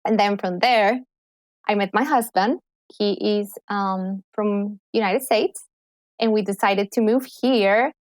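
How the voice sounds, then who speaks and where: A woman's voice: medium pitch, slow, no room sound. A woman from Colombia with a Colombian accent, a guest speaking enthusiastically in a casual podcast conversation. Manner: enthusiastic, animated. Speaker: guest, clinical psychologist and healer.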